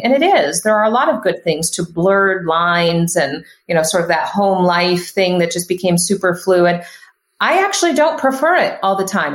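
A woman in her thirties, a podcast guest talking casually and emphatically, with frequent restarts. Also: medium pitch. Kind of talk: casual conversational interview. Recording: echoey room.

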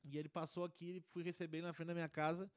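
Rambling man, slightly high. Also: flat delivery, rapid-fire, clear, resonant tone, dry recording, clean studio sound. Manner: rambling storyteller with many restarts and fillers. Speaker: podcast guest. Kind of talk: casual chat.

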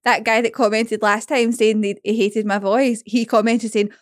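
Lively woman, higher voice, dry sound. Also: moderate pace, no room sound. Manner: swears, laughs often, animated storytelling. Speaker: podcast co-host. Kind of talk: casual chat.

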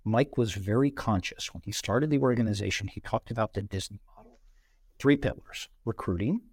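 A middle-aged man, a podcast guest, telling career stories in an animated way and acting out quoted dialogue. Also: fairly low pitch, brisk, slightly rough, no echo. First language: bilingual, Brazilian parents. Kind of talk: conversational interview storytelling.

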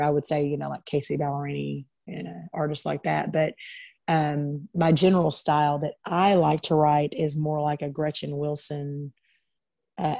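Chatty woman, low and dry. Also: vocal fry, darkish tone, no room sound. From Arkansas. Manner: chatty, many fillers and restarts. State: slightly raspy from singing two nights. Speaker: country singer guest. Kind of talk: casual interview over video call. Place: in bed at home.

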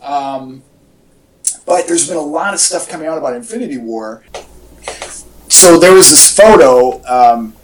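A man's voice: a little high, unhurried, pauses often, distant mic. Enthusiastic man chatting, off-mic. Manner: enthusiastic, rambling. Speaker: podcast co-host. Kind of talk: casual fan chat about superhero movies. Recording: echoey, off-mic home podcast audio.

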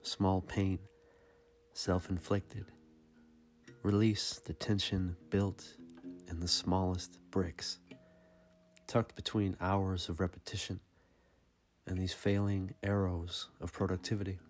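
A man giving a dramatic, scripted reading of poetry in a somber, measured and deliberate manner. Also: low-pitched, flat delivery, soft background music. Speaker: podcast host reading poetry.

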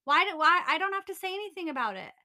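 A woman's voice: extremely high pitch, very animated, fast, background noise. A young woman in her twenties co-hosting a casual podcast chat, telling a personal story in a candid, rambling way with many fillers. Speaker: podcast co-host.

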